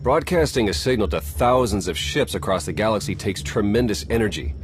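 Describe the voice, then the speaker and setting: An actor playing a keen, sardonic intelligence agent in a video game, with a dry, brisk briefing manner. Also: male voice, lowish voice, expressive, faint music behind, lightly acted. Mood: intent, briefing.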